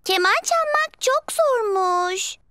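A woman's voice: extremely high pitch, lively intonation, takes it slowly, very noisy, exaggerated voice. A bossy little piglet girl. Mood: chirpy. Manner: bossy, eager, talks with a child's bounce. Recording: cartoon with loud music behind.

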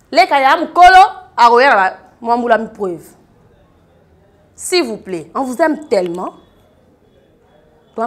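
This is a Congolese woman with a fairly high voice. She talks to the camera as a show host in a casual, conversational way. Her delivery is animated, and she drives points home by repeating her phrases. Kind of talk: casual spoken commentary to camera, mixing French and Lingala. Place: living room, indoors. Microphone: lapel mic.

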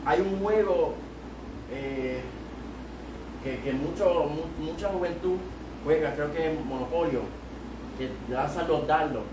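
A man's voice: a little high, slow, pauses often. A young man with a Puerto Rican Spanish accent preaching an exhorting sermon at a youth service from a church pulpit, holding a handheld mic. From Puerto Rico. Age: young adult. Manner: exhorting, rising to loud emphatic peaks. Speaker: evangelist preacher. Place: small church sanctuary at the pulpit. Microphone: handheld mic, filmed from a far camera. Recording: echoey church room, far camera, noisy.

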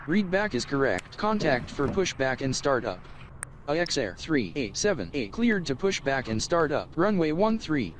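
A man's voice: on the high side, big pitch swings, normal speed. A man's synthetic-sounding voice giving scripted air traffic control instructions in stitched-together phrases. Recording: faint background noise.

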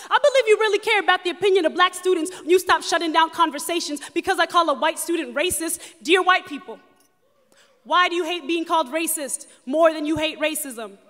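A woman's voice: very high-pitched, sharp tone, long reverb tail. A woman performing spoken word poetry with emphatic, rhythmic declamation.

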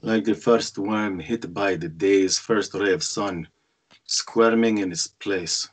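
Man acting a brooding narrator, bitter, low voice, husky, dramatic voice-over. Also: slow. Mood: grim, reflective. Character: brooding, bitter Greek-American man narrating his dark thoughts. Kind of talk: dramatic voice-over monologue in a script read. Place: indoors on a group video call.

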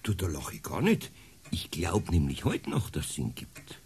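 An actor playing a good-natured older Bavarian master carpenter and uncle in naturalistic radio play dialogue. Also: male voice, sits low, wildly swooping pitch, moderate pace, a little mellow, slight background noise, heavily acted. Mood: earnest.

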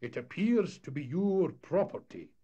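A man voicing a fussy town spokesman, stiff and indignant, pitched well above his own voice. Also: animated, a little slow, dark tone, husky, highs cut off.

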